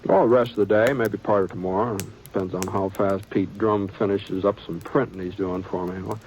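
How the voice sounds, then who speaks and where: A man's voice: sits low, fairly even intonation, brisk, a little mellow, natural voice. An actor playing a modest drifting cowboy gunman who narrates and talks with a hesitant, drawling stammer in a 1950s radio drama. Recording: old radio broadcast with faint hiss.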